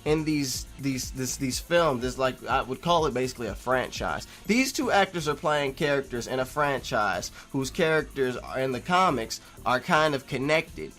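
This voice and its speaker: Male vlogger, average pitch. Casual commentary, halting and rambling but emphatic, light music underneath. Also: fairly bright tone. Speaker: YouTube vlogger. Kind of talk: casual opinionated commentary, replying to viewer comments.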